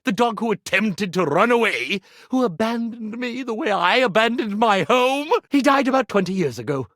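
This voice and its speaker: A man's voice: high voice, expressive, moderate pace, fairly bright tone, no room sound, clean studio sound. An actor playing a theatrical, grandiose, angst-ridden male magician in a video game, with pompous, florid, melodramatic delivery. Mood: despairing, tragic. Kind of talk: animated video game character acting.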